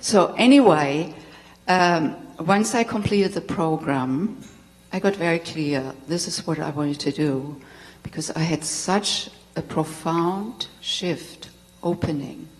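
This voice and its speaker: A woman's voice: low-pitched, slow pace. A middle-aged German-accented woman telling her personal story to an audience as a tantra teacher, candid and laughing often. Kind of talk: personal talk to a live audience. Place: spiritual center hall. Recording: long hall reverb.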